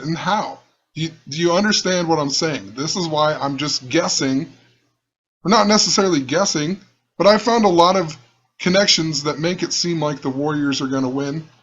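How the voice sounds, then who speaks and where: Rough-voiced man, lo-fi recording. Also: a little high, a bit bright. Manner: musing, rambling, repeats himself. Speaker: channel host. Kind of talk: casual screen-share commentary.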